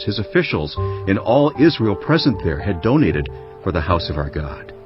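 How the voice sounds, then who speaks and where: A man with a low, lively-pitched voice narrates the Bible in a solemn, declamatory style. His phrasing is deliberate and dramatized. The sound is a little dull, with music playing underneath. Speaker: scripture narrator. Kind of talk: dramatized Bible narration, first-person scribe's account. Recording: dull lo-fi audio with music bed. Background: background music.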